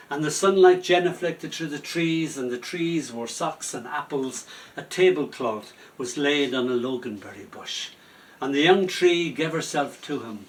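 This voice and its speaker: Man reading poems softly from a distance. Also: mid-range voice. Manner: slow, lyrical delivery that drops to soft whispers. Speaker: poet performing his poems. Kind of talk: spoken word poetry reading with anecdotes. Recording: voice from a distance off the mic.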